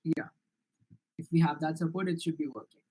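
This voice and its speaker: A young man with an Indian accent presents his software project in a live online demo, answering questions earnestly with frequent restarts and fillers. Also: a little high, fairly even intonation, fairly quick, breathy edge, rough recording. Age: young adult.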